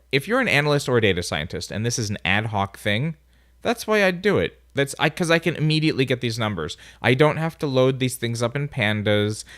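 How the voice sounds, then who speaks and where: Man, nasal, smooth and clear, casual screencast instructor, dry sound. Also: mid-range voice, a bit bright, no echo. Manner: casual, thinking aloud while typing. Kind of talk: screencast coding tutorial. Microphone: voice-over on a screen recording.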